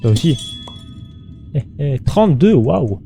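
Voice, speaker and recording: A man's voice: fairly high pitch, big pitch swings, slow, gravelly, dark tone, soft background music. A man doing casual gameplay commentary in an informal, slangy way, muttering to himself and chatting to his viewers. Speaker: gaming streamer.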